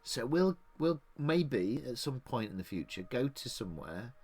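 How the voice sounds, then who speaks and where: A man's voice: medium pitch, sing-song, moderate pace, darkish tone, slightly rough. A middle-aged man from England co-hosting a podcast, rambling in casual chat with frequent restarts and easy laughter. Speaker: podcast co-host.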